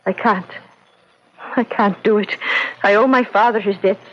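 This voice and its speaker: A woman's voice: medium pitch, fairly even intonation, a little slow, natural voice. An actress playing an earnest, anxious young Irish woman in love, in a radio drama. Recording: faintly noisy dull old radio recording.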